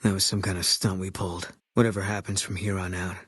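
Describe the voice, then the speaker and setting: Casting: stoic, guilt-ridden military sniper in a video game; deep, raspy, terse, flat delivery. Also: male voice, fast talker, no room sound, studio quality. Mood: bitter, guarded. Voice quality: low, husky. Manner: deadpan, terse, clipped sentences. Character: terse, guilt-haunted ex-army sniper, grimly stoic man. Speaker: video game companion character.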